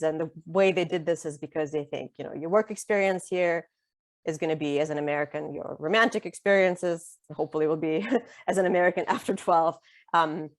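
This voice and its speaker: Chatty low-voiced woman, native Russian speaker. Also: dry recording, studio quality. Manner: chatty, many fillers and restarts, laughs easily. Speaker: author and guest. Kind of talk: conversational interview.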